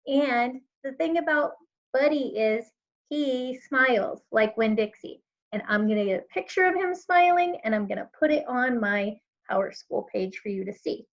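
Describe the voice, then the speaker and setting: A woman's voice: on the high side, lively intonation, normal speed, highs cut off. A woman teacher reading aloud and talking informally to children, in an explanatory, somewhat hesitant way. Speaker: elementary school teacher.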